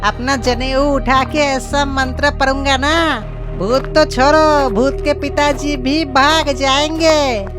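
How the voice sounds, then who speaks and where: Very high-pitched naive young man voiced by a woman. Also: steady tone, takes it slowly. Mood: boastful. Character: young village man, boastful, naive son. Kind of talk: Hindi cartoon horror story acting. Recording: loud music behind the voice. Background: loud music.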